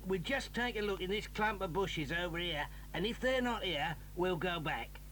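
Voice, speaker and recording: A man's voice: high-pitched, lively intonation, normal speed, fairly bright tone, distant mic, a little hiss or hum. A man playing a blustering, threatening father who is a villain in a 1980s audio drama, recorded on old tape a little back from the mic. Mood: grumbling. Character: gruff menacing father, Mr Stick, armed.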